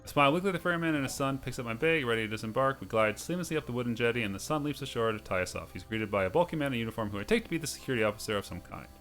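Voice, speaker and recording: Male let's play host, middle pitch, reads game text aloud with the odd stumble, music behind. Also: animated, racing, few pauses, fairly bright tone. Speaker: host of a video game playthrough series.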